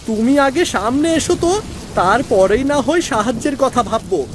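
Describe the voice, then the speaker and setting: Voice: high-pitched, expressive, normal speed, noisy background. An actor voicing a brave, protective big brother, a village boy in a cartoon story. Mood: bold, calm.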